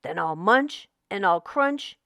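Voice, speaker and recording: A woman voicing a big bad hungry male shark from a children's storybook, with loud, sing-song taunts. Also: medium pitch, lively intonation, takes it slowly, creaky, no room sound, studio quality, heavily acted. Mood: menacing threat.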